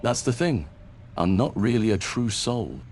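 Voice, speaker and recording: A man's voice: on the low side, lively intonation, a little slow, a little mellow, a little hiss or hum. A man voicing an earnest, principled adventurer in a fantasy game, speaking firmly and sincerely.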